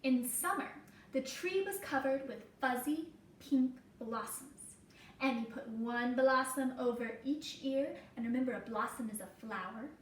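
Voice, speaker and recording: A woman teacher reading a children's story aloud deliberately, with simple explanatory asides. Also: high voice. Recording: roomy echo, distant mic, faint noise.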